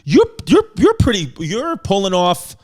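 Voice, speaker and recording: A man with a New York accent hosting a comedy podcast, talking in a rambling, jokey way with quick asides. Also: sits high, huge pitch swings, a little mellow. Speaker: podcast host. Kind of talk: casual comedic chat.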